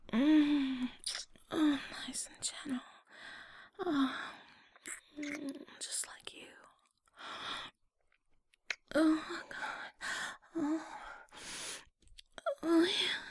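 High-voiced woman whispering soft and slow right into the mic, breathy and sighing. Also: no echo. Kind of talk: intimate audio roleplay.

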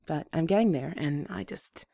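A woman with a rough voice, hoarse from a flu, hosting a gentle and reassuring advice talk to her listeners. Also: sits low, moderate pace, no room sound, studio quality. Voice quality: rough, slightly congested voice. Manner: gentle, reassuring, restarts phrases. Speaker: advice show host.

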